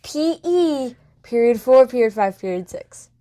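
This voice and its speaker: Giggly young female student, higher voice. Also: expressive, measured pace, dark tone. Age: young, school student. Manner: giggly, playful, stubbornly silly. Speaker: podcast co-host, student. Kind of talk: casual chat.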